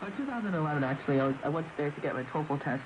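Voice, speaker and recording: A woman's voice: sits low, animated, very fast. A woman talking casually and conversationally, as on a radio talk show. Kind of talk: casual travel chat. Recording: noisy, muffled shortwave radio reception.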